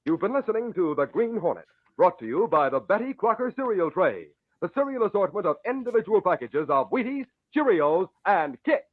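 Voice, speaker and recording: A man's voice: sits high, sing-song, fairly quick, no room sound, phone-quality sound. A man announcing commercials in polished, emphatic 1940s radio style, on an old broadcast recording. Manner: polished, emphatic sales delivery.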